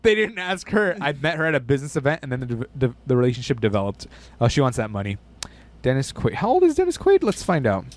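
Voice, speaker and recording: A man's voice: medium pitch, huge pitch swings, fast talker. Young guy hosting a podcast, swears a lot, rattles off numbers, voice jumps all over. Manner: profane, reads out lists of numbers and names. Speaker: podcast host. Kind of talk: casual chat.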